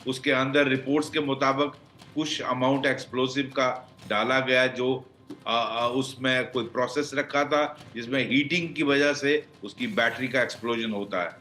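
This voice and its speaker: A man giving unscripted expert analysis on a news panel, halting as he searches for words and mixing in English phrases. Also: medium pitch, fairly even intonation, bright, forceful tone. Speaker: expert guest, former senior police officer.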